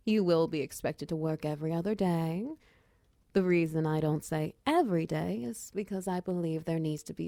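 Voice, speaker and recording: A woman voicing a friendly, chatty female minotaur wizard who mentors an apprentice in a roleplay ASMR style, encouraging and gently instructive. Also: fairly low pitch, vocal fry, no room sound, studio quality. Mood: friendly, explaining.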